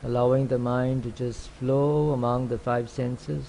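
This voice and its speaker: A man teaching guided meditation, speaking calmly and unhurriedly in short phrases with long pauses. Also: medium pitch, gravelly, a little hiss or hum, low-quality recording. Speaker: meditation teacher, Buddhist monk.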